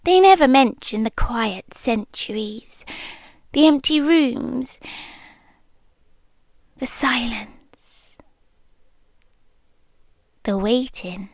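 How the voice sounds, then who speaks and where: A woman with a British accent voicing an ancient, lonely monster girl who is tenderly obsessed, in breathy, wistful, hushed ASMR roleplay. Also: a little high, lively intonation, dry recording, heavily acted. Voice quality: breathy, often dropping into whisper. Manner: hushed, deliberate, wistful storytelling with pauses. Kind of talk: slow intimate ASMR roleplay. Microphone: close, dry microphone.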